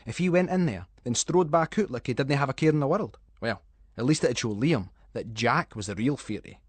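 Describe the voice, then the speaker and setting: A Scottish man narrating a ghost story in Scots dialect, with an expressive, suspenseful storytelling delivery. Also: mid-range voice, fairly quick, husky, dry recording. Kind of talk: dramatic scripted narration of a ghost story for schools radio.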